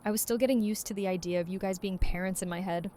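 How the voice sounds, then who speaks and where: A woman's voice: mid-range voice, fairly even intonation, fast talker, warm tone, slight background noise, close to an everyday voice. Woman acting a brash, blunt young leader. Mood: teasing. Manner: casual, blunt, profane. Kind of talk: fan audio drama acting.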